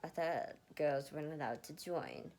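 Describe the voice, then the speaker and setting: A woman's voice: low-pitched, a little slow, vocal fry, darkish tone, exaggerated voice. A sarcastic young woman warrior in disguise, acted by a woman reading a story, has an anxious streak. Her talk is wry and emotional. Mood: incredulous.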